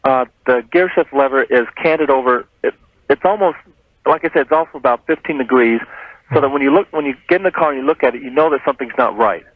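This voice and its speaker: A man's voice: medium pitch, fast talker, dry recording. A man calling a radio show, explaining a complaint conversationally over a tinny, narrow phone line.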